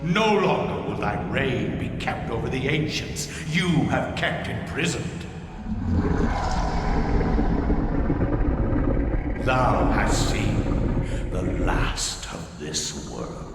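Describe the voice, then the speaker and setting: An actor playing an ominous, gloating servant of a dark god in a video game cutscene, with grandiose, archaic, menacing speech. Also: male voice, mid-range voice, very animated, slow pace, vocal fry, very noisy, exaggerated voice. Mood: cursing, menacing.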